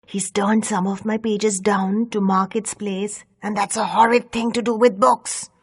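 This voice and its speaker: A woman's voice: medium pitch, little pitch movement, unhurried, husky. A woman voicing small, fed-up talking books in a children's story, aggrieved and plaintive.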